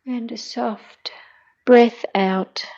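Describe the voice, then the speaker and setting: Slow, mellow woman guiding meditation, muffled sound. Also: on the high side, steady tone. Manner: gentle, soothing, hushed, breathy at times. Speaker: meditation guide. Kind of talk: guided meditation.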